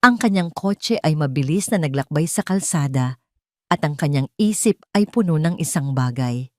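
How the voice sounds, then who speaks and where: A woman's voice: low-pitched, animated, moderate pace, no echo. Filipino woman narrating drama, close dry sound. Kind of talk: dramatic scripted story narration.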